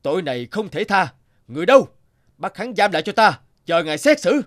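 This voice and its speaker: An actor playing a shrewd, authoritative folktale king who passes as a poor scholar, measured and probing. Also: high-pitched, big pitch swings, no room sound, studio quality. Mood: stern, commanding.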